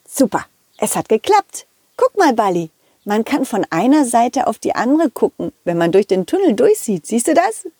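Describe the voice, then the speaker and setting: A woman's voice: a little high, sing-song, moderate pace, mellow, husky, dry recording, clean studio sound, full character voice. A woman voicing a cheerful, loyal male dog who tells his own adventure to children in a chatty, giggly way. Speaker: storyteller. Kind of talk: children's audio play narration.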